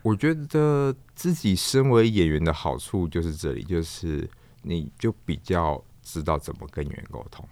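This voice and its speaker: Male interview guest, Taiwanese accent: very low register, reflective and hesitant, often repeating words, close dry sound. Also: expressive, darkish tone, dry recording. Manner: halting, thoughtful, searches for words and repeats himself. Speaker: guest, theatre performer, puppeteer and director.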